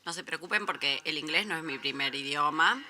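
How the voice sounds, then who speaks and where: Slow, deliberate woman giving a talk. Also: low-pitched, bright, forceful tone. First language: Italian. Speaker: conference speaker. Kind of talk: presentation talk with live interpreting of a trailer.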